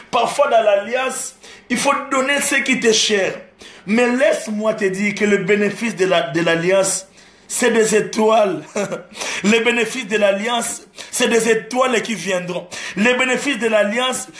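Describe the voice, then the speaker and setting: A man's voice: high voice, a bit bright, roomy sound. A man giving a testimony sermon, repeating phrases in a rising preaching cadence and slipping into Lingala. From Democratic Republic of the Congo. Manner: repetitive preaching cadence with laughter, mixing French and Lingala. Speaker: gospel singer preaching. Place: live-streamed church setting.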